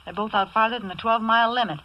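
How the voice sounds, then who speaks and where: An actress playing a soft-hearted, wisecracking Broadway chorus girl in brassy, slangy 1940s radio style, on a vintage recording. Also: on the high side, rapid-fire, muffled recording, noisy background, close to an everyday voice. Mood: sassy. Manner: wry wisecracks in streetwise slang.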